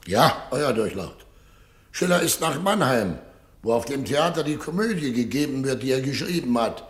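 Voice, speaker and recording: A man's voice: mid-range voice, lively intonation, moderate pace, natural voice. An actor playing a flustered old army general who stammers and defers to his ruler in a radio drama. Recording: roomy studio echo.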